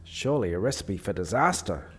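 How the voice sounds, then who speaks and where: Man playing husky, sceptical visiting assessor. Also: lowish voice, expressive, brisk, a bit bright. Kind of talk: radio play acting.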